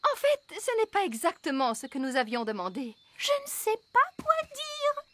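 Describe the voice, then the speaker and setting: A woman's voice: high voice, wildly swooping pitch, normal speed, a bit bright, heavily acted. A woman voicing a grown fairy lady, proud, fussy and theatrically polite, in a French children's cartoon. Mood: dismayed, polite. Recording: music behind the voice.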